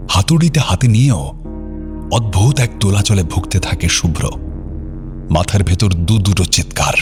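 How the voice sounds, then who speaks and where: A man narrating an audio story in a solemn, literary and dramatic way. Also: sits low.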